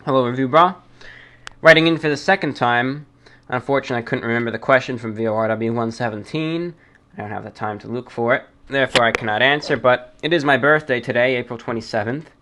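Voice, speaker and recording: Male host, medium pitch, casual mail-reading and commentary, halting and deliberate delivery with repeated phrases. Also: sharp tone. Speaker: host of a listener-mail show.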